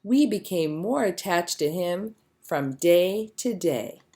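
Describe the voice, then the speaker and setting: A woman reading a children's story aloud as a narrator, with expressive storytelling delivery. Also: on the low side, slow pace. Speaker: storybook reader.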